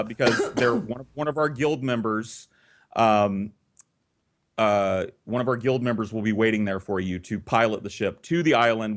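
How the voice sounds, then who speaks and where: A man telling a story casually and comedically, swearing freely and acting out both sides of conversations. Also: fairly low pitch. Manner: profane, animated re-enacting of conversations. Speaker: storyteller and host.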